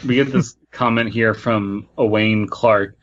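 A man's voice: on the low side, steady tone, unhurried, a bit bright. A man hosting a podcast, reading a story aloud and breaking off to joke about it. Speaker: podcast host. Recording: slightly dull, lo-fi sound.

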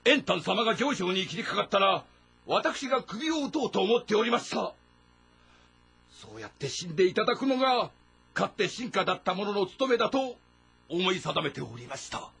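Fiercely loyal ancient Chinese general: high, gravelly, strained, intense, formal. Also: male voice, very animated, moderate pace, pushed and bright, heavily acted. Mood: anguished. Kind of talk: dramatic audio-novel game acting.

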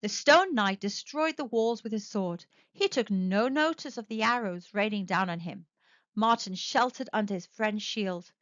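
A woman narrating a children's storybook aloud with expressive, animated storytelling. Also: mid-range voice, moderate pace, dry recording. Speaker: storybook reader. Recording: dry, dull lo-fi sound.

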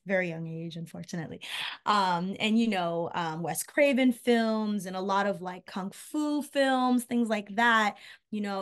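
A young woman from Haiti, a recording artist, chatting casually as a guest over a video call, with lots of fillers and trailing asides. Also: medium pitch. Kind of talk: casual interview chat. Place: home room, indoors. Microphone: video call webcam.

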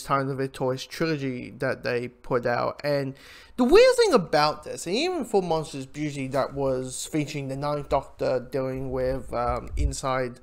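A man giving an informal spoken review, talking in a rambling, halting way with frequent asides. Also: medium pitch. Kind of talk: informal audio drama review commentary.

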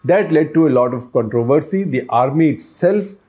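A man's voice: fairly high pitch, lively intonation, moderate pace, mellow. An older man hosting a news explainer, talking to camera in a conversational way and posing questions to the viewer, recorded on a lapel mic in a book-lined office.

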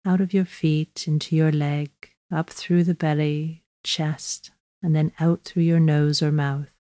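A woman leads a guided body scan meditation. Her voice sits low and is soft-edged and dark. She speaks slowly and gently, in measured instructions with long pauses, in a very dry recording. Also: level tone, no echo.

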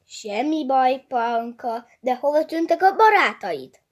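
A woman voicing a small, shy, sleepy hedgehog in a children's story, speaking in a timid, stumbling, gentle way. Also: sits high, animated, voice pushed up. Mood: friendly. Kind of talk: storybook character voice.

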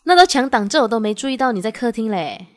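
A woman's voice: on the high side, lively intonation, fast talker, natural voice. A young woman acting in a radio drama as a sweet, devoted girlfriend who is easily wounded. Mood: chirpy, casual. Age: young adult.

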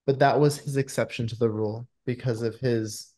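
A man's voice: mid-range voice, fairly even intonation, moderate pace, warm tone. A man in his thirties giving earnest, conversational explanations as a screenwriter in a video-call interview. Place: indoor room at home, video call. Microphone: video call microphone.

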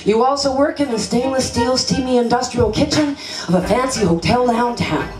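A woman's voice: fairly high pitch, moderate pace. Theatrical woman poet performing onstage. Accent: Canadian English. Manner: rhythmic, theatrical delivery with big gestures. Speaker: spoken word poet. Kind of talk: performed spoken word poetry. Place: small stage at a festival venue. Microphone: handheld microphone. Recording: echoey live room with music.

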